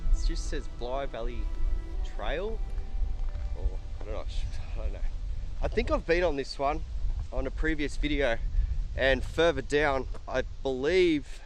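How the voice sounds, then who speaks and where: A congested, nasal-sounding man talks casually and haltingly, hosting an outdoor vlog. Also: mid-range voice, expressive, soft background music, rough recording. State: congested with flu. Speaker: camping channel host.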